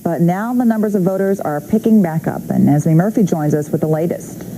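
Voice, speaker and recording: A woman's voice: fairly low pitch, fairly quick, warm tone, a little hiss or hum, rough recording. An American woman anchoring a TV newscast with scripted delivery. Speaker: news anchor.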